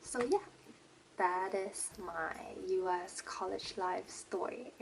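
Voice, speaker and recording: A woman's voice: mid-range voice, vocal fry, a little hiss or hum, poor audio. A woman in her twenties from Malaysia, a native Mandarin speaker with an American-leaning English accent, chatting casually in a personal vlog with many "um" fillers. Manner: chatty, frequent "um" fillers and little laughs. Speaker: vlogger.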